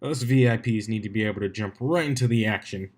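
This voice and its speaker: A man's voice: on the low side, fast, a little mellow, close to an everyday voice. A man voicing a cocky, laid-back young male cartoon pony with a casual, boastful manner in a fan story reading. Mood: smug.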